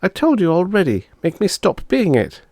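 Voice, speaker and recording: A man's voice: high voice, wildly swooping pitch, moderate pace, a little mellow, dry recording, studio quality, pitched above the performer's own voice, full character voice. A man voicing an eager, whiny little girl, a kid sister in an audiobook story. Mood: whiny, complaining. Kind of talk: audiobook character voice.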